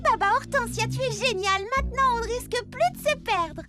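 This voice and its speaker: A woman's voice: very high voice, animated, a little fast. A woman voicing an upbeat, determined young girl in a children's cartoon, encouraging and exclamatory. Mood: cheerful. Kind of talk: dubbed children's cartoon acting. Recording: loud music behind the voice. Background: loud children's music.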